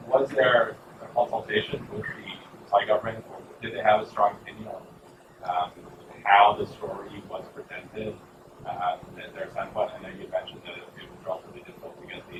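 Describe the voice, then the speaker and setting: Low man asking from a distant, echoey hall. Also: flat delivery, normal speed, heavy background noise. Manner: meandering with many fillers. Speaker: audience member asking a question. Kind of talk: audience question.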